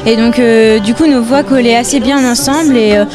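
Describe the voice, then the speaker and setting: A woman's voice: fairly high pitch, fairly even intonation, brisk, over music. A woman singer who opened the concert, answering an interview hesitantly with many fillers. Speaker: opening-act singer being interviewed. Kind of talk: casual interview. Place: concert venue.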